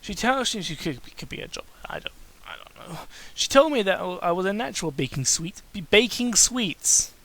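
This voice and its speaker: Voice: medium pitch, sing-song, moderate pace, few pauses, sharp tone. Someone giving a comedic dramatic reading of amateur fiction, mocking and exasperated, stumbling over the text and breaking off for sarcastic asides. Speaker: host reading fan fiction aloud.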